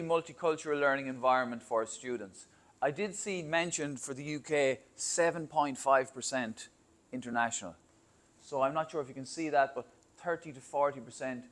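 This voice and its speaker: A man's voice: mid-range voice, moderate pace, fairly bright tone. A man from Ireland with an Irish accent, giving a conversational presentation on university admissions to students and parents, with fillers and asides to the audience. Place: school hall or classroom.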